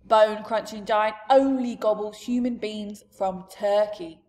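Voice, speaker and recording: Woman voicing a booming jolly giant. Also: steady tone, unhurried, lightly acted. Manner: booming, shouting, mangled grammar. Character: huge booming male giant, jolly, mangled grammar.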